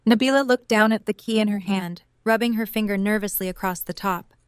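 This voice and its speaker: A woman's voice: mid-range voice, a little fast, clean tone, no echo, clean studio sound. A woman reading scripted third-person story narration for an audio drama.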